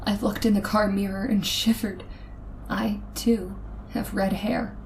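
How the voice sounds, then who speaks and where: A woman's voice: mid-range voice, flat delivery, unhurried, gravelly. Woman acting a nervous, frightened lady, noisy recording. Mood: uneasy. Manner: shaky, anxious, hesitant. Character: nervous red-haired woman driving alone at night, frightened. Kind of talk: old-time radio suspense acting, first-person narration.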